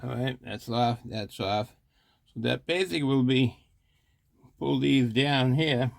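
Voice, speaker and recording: Male hobbyist host, casual teardown commentary, creaky mid-pitched voice, halting and self-directed, noisy home recording with clicks. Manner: halting, muttering to himself while working. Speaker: hobbyist reviewer and video host.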